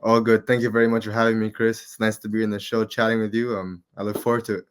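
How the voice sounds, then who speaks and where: A man's voice: fairly low pitch, fast talker, clear, resonant tone. Easygoing young man from Qatar, rambling. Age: 20s. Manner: relaxed, rambling, many fillers. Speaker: guest, amateur MMA fighter. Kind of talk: casual interview chat.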